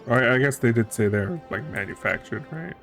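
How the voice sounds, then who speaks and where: A man's voice: mid-range voice, animated, fairly quick, fairly bright tone, soft background music. A man streaming a video game with casual commentary, musing and thinking aloud as he plays.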